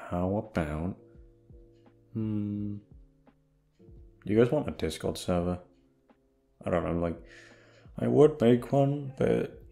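A young man with a fairly low voice rambles casually to his listeners. He is hesitant and repetitive, swears easily and is quick to run himself down. Faint music plays behind him. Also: creaky, warm tone. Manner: hesitant, sweary, self-deprecating, repeats himself. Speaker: audio roleplay voice actor.

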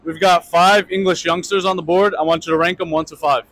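A young man running quick street interviews outdoors on a city sidewalk, brisk and upbeat, repeating each answer back. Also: on the high side, steady tone, a bit bright. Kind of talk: quick street vox pop.

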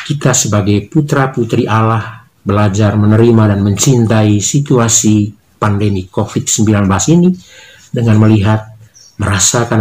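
Earnest middle-aged priest giving a reflection. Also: male voice, lowish voice, low-quality recording. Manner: measured, earnest, reads in steady phrases. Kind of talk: spoken reflection, sermon-like. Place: small indoor office with bookshelves.

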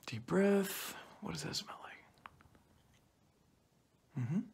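A young man speaking softly through a cloth mask in an ASMR roleplay as an examiner, often dropping into whispers and repeating short phrases close to the mic. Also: sits high, expressive, slow. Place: small plain indoor room. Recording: clearly noisy home recording with clicks.

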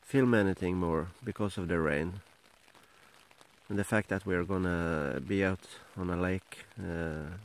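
Slow, halting man with Norwegian accent. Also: deep voice. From Norway. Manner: halting, many fillers and restarts. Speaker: outdoor vlogger. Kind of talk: casual camping vlog to camera. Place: inside a small tent, then outdoors by a lake. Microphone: handheld camera at close range.

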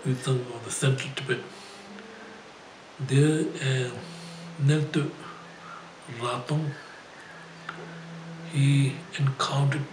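An older man, a Buddhist teacher, reading a biography aloud haltingly with frequent pauses. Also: mid-range voice. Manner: halting, with pauses and throat clearing. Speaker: Buddhist teacher and spiritual director. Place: indoor shrine room, seated at a desk. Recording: echoey room, clear background noise.

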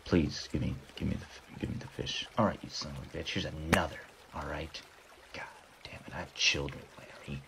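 A man voicing a foul-mouthed, haggling sea otter in a comic animal dub, rambling and muttering in a casual deadpan. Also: rumbling low, brisk, gravelly, noisy background. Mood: exasperated haggling.

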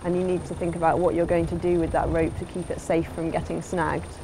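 A woman's voice: lowish voice, little pitch movement, a little fast, background noise. A woman giving an instructional voice-over as a sailing instructor, in a plain, explanatory way.

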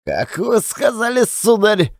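A male narrator plays an old billiard marker in a literary audio drama. He is proud and indignant, scolding and boasting with a very mobile pitch, recorded dry and close. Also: huge pitch swings, slow pace, dry recording, exaggerated voice. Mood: offended. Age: older. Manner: grumbling, scolding.

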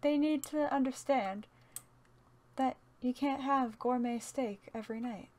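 A woman playing a calm, practical, matter-of-fact survivor in a game roleplay. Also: high voice, measured pace. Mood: annoyed. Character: calm, practical young female survivor of a zombie apocalypse.

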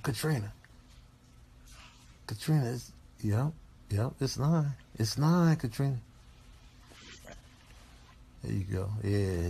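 A man voicing a patient pet dog, gently and insistently nagging in a hushed comic animal voice-over. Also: medium pitch, gravelly, a little hiss or hum.

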